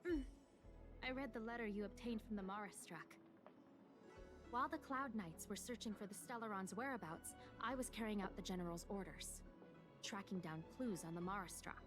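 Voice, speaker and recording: A grave, composed female counselor to a general in a fantasy game, played by a woman. Her mid-pitched delivery is formal, precise and stern. Music is clearly audible underneath. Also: moderate pace, close to an everyday voice. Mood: composed, businesslike. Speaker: game character, chief counselor. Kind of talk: scripted video game dialogue.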